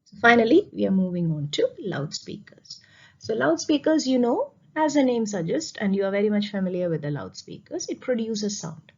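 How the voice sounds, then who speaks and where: A woman's voice: medium pitch, sing-song, normal speed, husky, lo-fi sound. A woman teacher explaining a lesson over slides, repeating key points and posing questions as she goes. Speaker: physics teacher.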